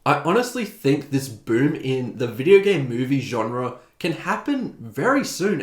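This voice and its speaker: Man giving opinionated commentary in echoey room. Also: medium pitch. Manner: opinionated, conversational. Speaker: video essay host. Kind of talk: opinion video essay commentary. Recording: roomy, echoing voice recording.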